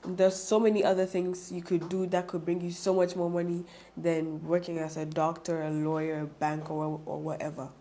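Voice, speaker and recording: A woman's voice: lowish voice, monotone, dark tone. A teenage woman, answering interview questions earnestly. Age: teens. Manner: earnest, quick to agree. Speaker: pageant titleholder guest.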